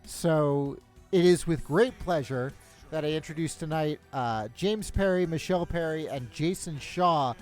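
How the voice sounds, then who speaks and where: A man's voice: a little high, unhurried, soft background music. A man hosting a casual interview show, rambling with many fillers and restarts. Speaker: podcast host.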